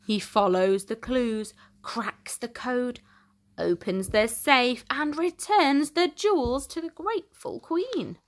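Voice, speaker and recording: A woman reading a children's storybook aloud in an expressive, theatrical way with deliberate emphasis. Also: a little high. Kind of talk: dramatic storytime narration for young children.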